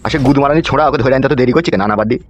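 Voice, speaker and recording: A man's voice: mid-range voice, racing, no echo, natural voice. A man voicing an angry middle-aged village politician in a comedy cartoon, domineering and threatening. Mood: bossy. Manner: threatening, foul-mouthed, domineering. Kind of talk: amateur comedy cartoon dialogue. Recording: music behind the voice.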